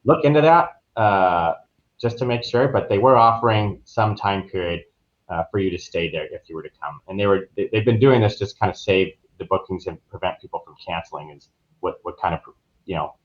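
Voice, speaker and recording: Male podcast guest, lowish voice, easygoing conversational delivery, slightly muffled lo-fi audio. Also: fairly quick. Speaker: podcast guest, travel agent. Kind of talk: casual conversational recounting.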